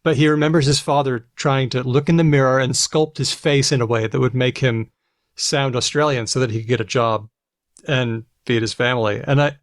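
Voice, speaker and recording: A man's voice: mid-range voice, steady tone, normal speed, dry recording, studio quality. An American man hosting a conversational podcast interview, speaking in a reflective, anecdotal way. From United States. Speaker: podcast host and linguist.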